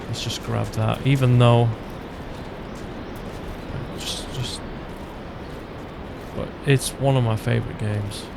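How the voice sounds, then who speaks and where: A man's voice: mid-range voice, steady tone, slow pace, pauses often. A man giving casual gameplay commentary, loosely thinking aloud as he plays. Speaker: gaming video host. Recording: clear game noise behind voice. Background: game ambience.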